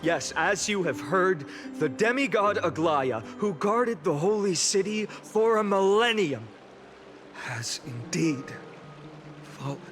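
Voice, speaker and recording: A woman playing a solemn, resolute leader in a video game, giving a measured, earnest speech to a grieving city. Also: fairly low pitch, expressive. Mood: grave. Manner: measured, earnest, deliberate rhetorical delivery. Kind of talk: dramatic oratory, video game voice acting. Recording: orchestral music behind the voice.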